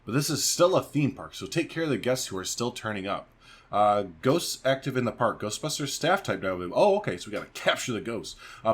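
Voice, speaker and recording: A man's voice: mid-range voice, fairly bright tone. A man doing casual let's-play commentary, chatty and informal, thinking aloud as he plays. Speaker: gaming video host. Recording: faint background noise.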